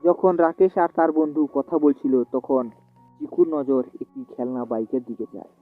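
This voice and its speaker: A man's voice: medium pitch, normal speed. Tinny-sounding male fairy-tale storyteller. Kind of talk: scripted fairy-tale narration. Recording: tinny phone-like audio. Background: soft music.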